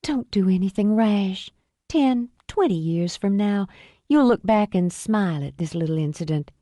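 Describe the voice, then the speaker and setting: A woman's voice: medium pitch, lively intonation, warm tone, dry recording, studio quality, lightly acted. A woman narrator voicing a friendly, amused young woman with a slight Southern drawl. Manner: smooth, friendly, unhurried.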